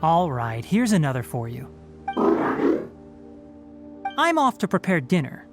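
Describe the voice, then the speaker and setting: A woman playing a calm, pragmatic young male forest ranger in a video game, explaining things in a measured, matter-of-fact, dry-witted way. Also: low voice. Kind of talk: scripted video game dialogue. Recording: background music.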